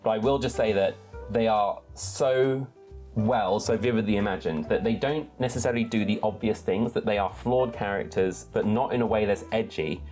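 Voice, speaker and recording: A man's voice: fairly low pitch, moderate pace, a little mellow, soft background music. A man hosting an enthusiastic book talk, articulate and wordy, with emphatic phrasing. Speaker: book reviewer and readalong host.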